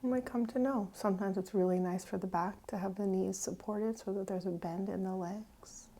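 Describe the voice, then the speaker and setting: A woman meditation teacher leading a guided meditation gently, with pauses, often trailing off into a breathy near-whisper. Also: medium pitch, steady tone. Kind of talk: guided meditation, unhurried and instructive.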